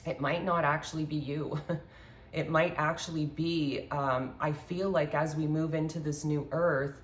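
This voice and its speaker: A woman with a congested, slightly hoarse voice giving a rambling, earnest spiritual talk with many fillers while doing a card reading at her home altar. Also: low voice, steady tone, a little slow, a little hiss or hum. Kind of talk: casual spiritual talk to viewers. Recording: echoey room, faint ambience.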